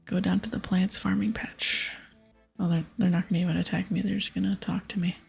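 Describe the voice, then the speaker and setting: Very breathy, creaky woman gamer. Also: on the low side, flat delivery, brisk. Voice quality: breathy, soft. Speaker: ASMR gaming host. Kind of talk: soft-spoken ASMR gameplay commentary. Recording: soft music behind.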